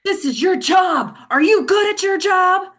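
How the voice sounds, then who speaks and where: A woman playing a frantic, foul-mouthed, domineering presidential aide with a sharp-tongued, commanding delivery in a comedy play reading. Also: very high-pitched, expressive, measured pace, full character voice. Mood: commanding, exasperated. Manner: sharp-tongued, commanding, stammers under pressure. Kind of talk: comedy play table read.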